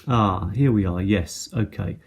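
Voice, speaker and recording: A middle-aged man giving a casual, unscripted product show-and-tell in a hesitant, halting way. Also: fairly low pitch. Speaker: product reviewer. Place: indoors at a tabletop. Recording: noticeable background noise, handling clicks.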